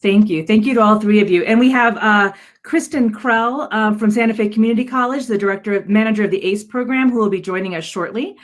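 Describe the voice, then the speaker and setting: A woman with a middle-pitched voice speaks as the host of an online panel. She delivers semi-prepared remarks with frequent pauses and repeated words. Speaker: panel host and adult education director. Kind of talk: hosting a virtual panel, semi-scripted remarks. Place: video call.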